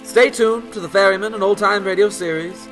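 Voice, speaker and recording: A man's voice: sits high, brisk, a bit bright, soft background music, poor audio. A man playing an ominous, theatrical announcer of an old-time radio serial. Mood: ominous, grand. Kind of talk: 1940s radio narration.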